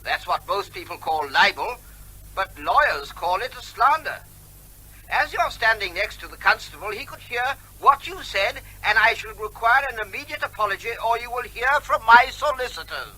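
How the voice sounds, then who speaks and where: A cheeky, evasive neighbour in a comic radio play. His voice is high and piercingly bright, swooping about in a lively way as he breezily quibbles and needles with mock politeness. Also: normal speed, slightly rough, full character voice, low-quality recording. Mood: smug teasing. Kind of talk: comic radio drama acting.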